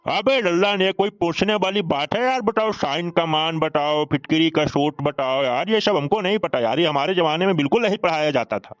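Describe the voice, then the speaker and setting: Cartoon old uncle played by a man: high-pitched, boastful, mocking, colloquial bluster. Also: lively intonation, a little fast, few pauses, lo-fi sound, lightly acted. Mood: baffled, defensive. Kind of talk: comic cartoon dialogue.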